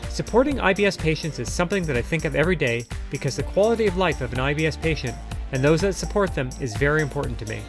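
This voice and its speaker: A middle-aged man hosts a podcast, reading from a prepared script. His voice sits a little high for a man, and music plays clearly behind it. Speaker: podcast host, patient advocate.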